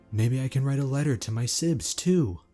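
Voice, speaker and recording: A man playing a gentle, sincere, big-hearted male dragon in an audio drama. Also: medium pitch, a little slow, faint music behind, natural voice. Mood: hopeful.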